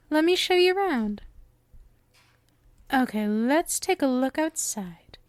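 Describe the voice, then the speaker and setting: A woman's voice: high-pitched, expressive, takes it slowly, no room sound. A woman playing a sweet, obsessive witch in an ASMR roleplay, coy and affectionate, speaking to the listener close to the microphone. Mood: showing off, eager. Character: sweet, doting, obsessive yandere witch, playful and lovestruck.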